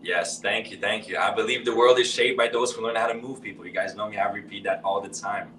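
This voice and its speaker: A man who hosts a live speaking workshop and coaches with encouraging energy, calling participants brother. English isn't his first language. His voice sits a little low and comes through a roomy space from a slight distance. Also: steady tone, quick pace, fairly bright tone, slight background noise. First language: not English. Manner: calls participants brother and bro, upbeat coaching.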